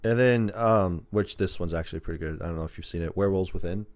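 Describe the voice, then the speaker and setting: A man's voice: sits low, fast, a little mellow. A man hosting a podcast in casual, informal chat, rambling as he goes. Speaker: podcast host.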